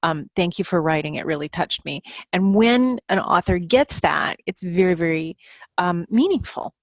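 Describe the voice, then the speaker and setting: A woman's voice: on the low side, lively intonation, normal speed, no room sound. A woman author telling anecdotes in a casual podcast conversation.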